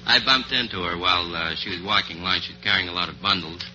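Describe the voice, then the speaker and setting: A man's voice: low-pitched, fast, bright, forceful tone. A young man from Boston chatting as a contestant, answering a host's questions. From Boston, Massachusetts. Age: young adult. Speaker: quiz show contestant, antique dealer. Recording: dull, noisy old radio recording.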